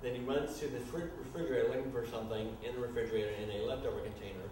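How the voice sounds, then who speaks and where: A man narrating stage directions at a live staged reading in an informal, halting way. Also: mid-range voice, fairly even intonation, darkish tone. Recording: echoey, noisy room from far off.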